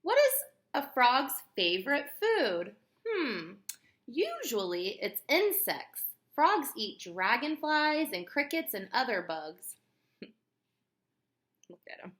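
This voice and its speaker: A woman with a fairly high, very bright voice reads a children's book aloud as a teacher. Her delivery is expressive and instructive, moving between reading and explaining, with questions aimed at young listeners. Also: slow pace.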